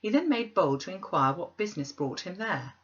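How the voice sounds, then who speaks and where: A woman narrating a classic tale as a storyteller, with expressive, deliberate, dramatic phrasing. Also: lowish voice, breathy edge, lo-fi sound.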